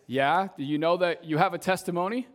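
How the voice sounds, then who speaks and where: A young adult man, a youth pastor, preaching a casual sermon to a church congregation, his voice slightly raspy from shouting, often ending sentences with "right?". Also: high-pitched, fast talker. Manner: conversational, tags sentences with "right?". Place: church service, in front of a congregation.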